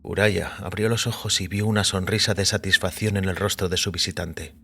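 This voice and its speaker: A man narrating scripted audio fiction in a measured, literary delivery. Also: low-pitched, fairly even intonation, gravelly, bright, forceful tone.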